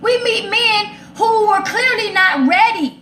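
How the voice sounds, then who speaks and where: High-voiced, direct woman in an echoey room. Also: unhurried, sharp tone. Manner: direct, opinionated, emphatic repetition. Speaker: talk show host addressing live comments. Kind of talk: casual, personal relationship talk. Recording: echoey room, faint background noise.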